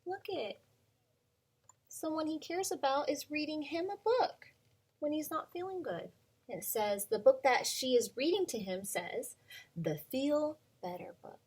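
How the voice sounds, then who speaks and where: A woman in her thirties, a preschool teacher, reading a picture book aloud to children in a gentle, sing-song way with many ums. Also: sits high, lively intonation, a little slow.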